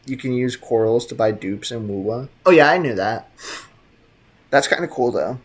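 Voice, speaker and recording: A man's voice: medium pitch, expressive, moderate pace. A young man streaming a video game, chatting casually with viewers in a laid-back, slangy way and laughing often. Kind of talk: casual chat reading live chat.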